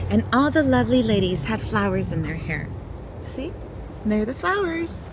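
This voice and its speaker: Animated woman reading a picture book to children. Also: mid-range voice, background noise. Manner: expressive, simple explanations and exclamations, as to young children. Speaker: storyteller hosting a children's storytime.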